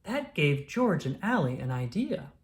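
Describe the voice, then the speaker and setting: A woman reading a children's story aloud, with expressive, deliberate phrasing for young listeners. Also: low-pitched. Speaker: storybook reader. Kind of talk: children's read-aloud narration.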